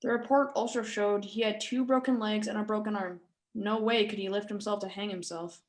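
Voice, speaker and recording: A woman's voice: medium pitch, fairly even intonation, a little fast, natural voice. A woman playing a battered, stubborn boy bent on payback, in a screenplay table read. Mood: bitter, defiant.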